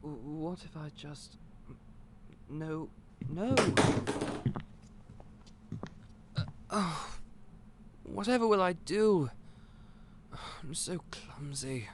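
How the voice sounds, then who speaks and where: An actor playing a gentle, clumsy candy shop owner who stammers and speaks in a polite, old-fashioned way. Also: male voice, fairly high pitch, lively intonation, slow, long pauses, noisy background. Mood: flustered. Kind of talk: audio roleplay acting.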